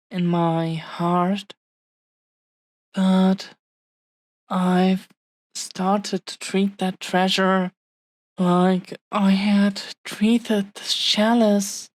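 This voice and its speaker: Woman acting a very slow-speaking, tender dragon woman. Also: medium pitch, a little mellow. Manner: heartfelt, halting, earnest pauses between short phrases. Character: tender, possessive young dragon woman in love. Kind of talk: romantic audio roleplay, intimate scripted monologue.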